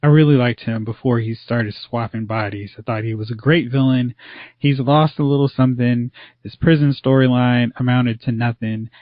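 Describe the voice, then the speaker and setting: A man's voice: mid-range voice, fairly even intonation, normal speed, no room sound. A man hosting a podcast in casual chat, opinionated and complaining with occasional sighs. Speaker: podcast host. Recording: dry, dull lo-fi audio.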